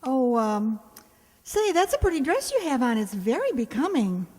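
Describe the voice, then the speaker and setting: High, lilting, sensible young woman, played by an actress. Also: sing-song, normal speed, darkish tone. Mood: evasive, soothing. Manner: coaxing, stalling delivery. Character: sensible, soothing, evasive young woman, roommate Jane. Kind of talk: old-time radio comedy acting. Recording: hall reverb on stage-like recording.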